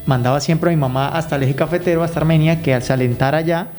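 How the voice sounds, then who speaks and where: A man's voice: fairly high pitch, fairly even intonation, quick pace, a little mellow, faint music behind. A Colombian man giving earnest, conversational interview answers as a radio guest, searching for his words now and then. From Colombia, raised in Guaviare. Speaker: radio guest, youth program consultant.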